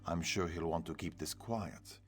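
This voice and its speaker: Deep-voiced, assured commander. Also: male voice, measured pace. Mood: serious, assured. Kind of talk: video game character acting.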